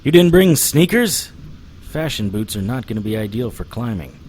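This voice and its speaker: An actor playing a hard-bitten, stubborn private investigator in an audio drama, with a curt, sardonic way of talking. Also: male voice, medium pitch, very animated, moderate pace, darkish tone, slight background noise, lightly acted. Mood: wry, businesslike. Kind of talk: audio drama acting with first-person narration.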